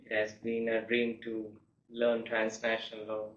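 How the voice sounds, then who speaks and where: A young man from India, a guest telling his personal story in a measured, reflective way. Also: on the low side, completely flat delivery, a little hiss or hum. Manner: measured, reflective, with soft laughs. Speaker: guest, law student. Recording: echoey, distant, muffled.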